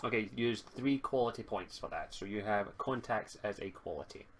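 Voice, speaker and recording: Man reading out tabletop game rules, halting. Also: on the low side, a bit bright, slight background noise. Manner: halting, reading items aloud from a rulebook. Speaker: game master.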